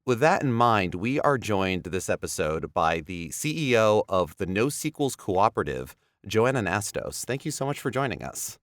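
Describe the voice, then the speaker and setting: A man's voice: fairly low pitch, very animated, moderate pace, few pauses, no echo, clean studio sound. A man hosting a gaming podcast, opening the episode with an earnest, emphatic delivery. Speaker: podcast host. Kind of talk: podcast intro and chat.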